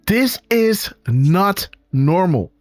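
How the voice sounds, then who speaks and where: A man with a Dutch accent hosting a live show, excitedly commenting on pictures and calling out to his viewers. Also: sits high, very slow, no echo, soft background music. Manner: excitable, exclaiming, talks to viewers as guys. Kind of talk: casual live-stream commentary on images.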